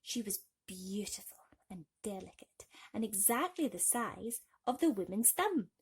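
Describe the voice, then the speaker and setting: Female children's storyteller, medium pitch, soft husky breathiness, expressive bedtime-reading delivery. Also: measured pace.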